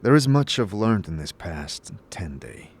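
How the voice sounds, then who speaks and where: A man playing a thoughtful, sincere wanderer on a quest in a fantasy audio drama, earnest and a little halting. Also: deep voice, sing-song, slight background noise, heavily acted. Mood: grateful, sincere.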